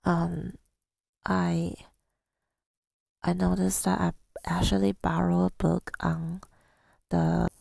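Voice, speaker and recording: A woman telling a personal story in ASMR style, softly and breathily, often near a whisper, with a halting, reflective delivery. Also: fairly low pitch, gravelly, dry recording. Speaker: ASMR creator.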